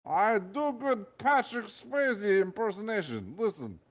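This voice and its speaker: Man putting on a blustering Russian guard, heavy accent, broken English, voice swooping high and low, dull lo-fi sound. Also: expressive, unhurried. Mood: boastful. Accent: put-on heavy Russian accent. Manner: broken English, boastful, theatrical. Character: gruff male Russian prison guard, pompous.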